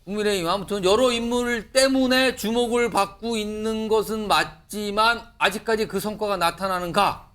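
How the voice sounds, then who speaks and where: Lively male talk show anchor. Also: high-pitched. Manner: teasing, playful questions. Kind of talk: hosting a political talk show.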